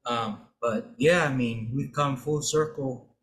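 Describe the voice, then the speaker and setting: A man's voice: mid-range voice, takes it slowly, fairly bright tone. A man in his thirties from American Samoa, hosting a podcast in casual chat, talking loosely with many restarts and fillers. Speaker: podcast host. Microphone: desk microphone.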